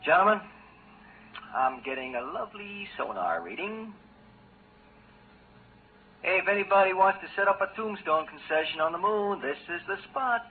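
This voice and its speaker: An actor playing a carefree, absent-minded young scientist radioing from the moon, rambling in a singsong way, in 1950s radio drama style. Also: male voice, sits high, very animated, moderate pace, long pauses. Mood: playful. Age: young adult. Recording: tinny, band-limited, hissy 1950s radio recording.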